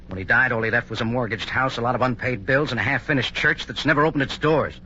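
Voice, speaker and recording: An actor playing a stubborn, defiant young man in a 1950s radio drama, brisk and intense. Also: medium pitch, bright, forceful tone, close to an everyday voice. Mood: bitter, defiant. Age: young adult. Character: bitter, defiant young minister's son. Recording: muffled old radio recording, faint noise.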